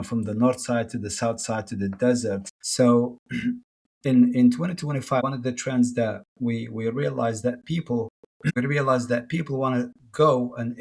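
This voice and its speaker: A man's voice: mid-range voice, fairly even intonation, normal speed, breathy edge. A man from Morocco, a podcast guest answering questions in a casual, enthusiastic way, often restarting his phrases. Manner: warm, enthusiastic, many restarts and fillers.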